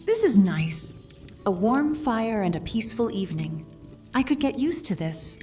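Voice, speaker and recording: A woman's voice: fairly high pitch, sing-song, a little slow, warm tone, soft background music. A woman playing a cheerful, eager young female adventurer in a scripted fantasy audio drama. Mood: content.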